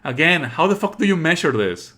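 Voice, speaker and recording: A man's voice: a little high, sing-song, fairly quick, sharp tone. A man streaming a let's play, reading on-screen text aloud and adding sarcastic, exasperated asides. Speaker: streamer playing a visual novel.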